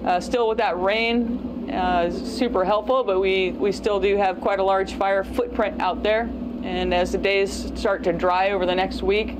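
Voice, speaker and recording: A middle-aged woman with an American accent gives an operational briefing. She is plainspoken and informal, pausing as she goes, with clear noise and vehicle sounds behind her. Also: mid-range voice, fairly even intonation. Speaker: fire operations section chief. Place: briefing spot in front of a wall map.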